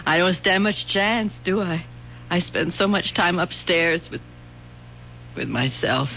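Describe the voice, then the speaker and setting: A woman's voice: lowish voice, slow pace, creaky, slight background noise, full character voice. A bitter, lonely mother. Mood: wounded. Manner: soft, wounded and bitter. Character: bitter, lonely middle-aged mother, fragile. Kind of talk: 1970s radio drama acting. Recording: dull lo-fi old radio broadcast.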